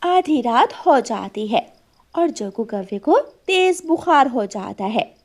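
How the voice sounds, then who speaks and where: A woman narrating a cartoon story for children in a lively storybook manner. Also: fairly high pitch, slow pace.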